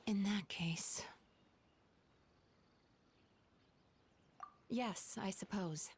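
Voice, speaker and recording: A woman's voice: mid-range voice, a little hiss or hum, close to an everyday voice. A woman playing an earnest, composed young dream therapist in a video game, speaking in an articulate, caring way. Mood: resigned. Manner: articulate, measured explaining. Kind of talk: video game character acting.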